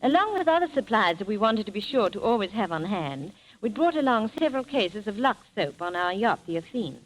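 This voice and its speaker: A woman's voice: mid-range voice, big pitch swings, fairly quick. A woman telling travel tales as a guest in a scripted 1930s radio interview, with a polished, formal delivery. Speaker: guest traveller and film-maker. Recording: faintly noisy 1930s radio broadcast.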